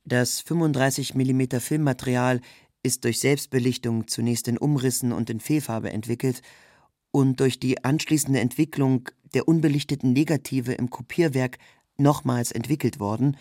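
A man's voice: medium pitch, a little mellow, no echo, clean studio sound. A man reads scripted literary narration in with a sober, documentary delivery. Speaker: narrator, reader of a literary text.

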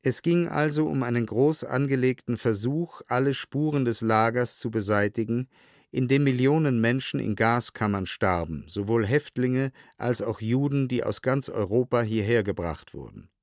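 Guy reading old documents for an audio documentary, measured n precise, medium pitch, super dry sound. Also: no room sound. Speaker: reader of historical documents.

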